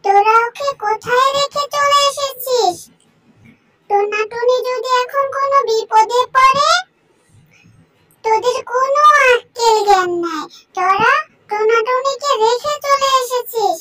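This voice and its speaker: A woman's voice: very high voice, measured pace, loud music behind the voice. A woman voicing an anxious, scolding village girl in a children's cartoon. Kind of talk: children's cartoon story acting.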